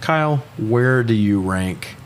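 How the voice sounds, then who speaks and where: A man's voice: mid-range voice, lively intonation, slow, slight background noise. A man co-hosting a podcast, chatting casually about movies in a blunt, sweary way. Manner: profane, blunt. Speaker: podcast co-host. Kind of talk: casual movie review chat.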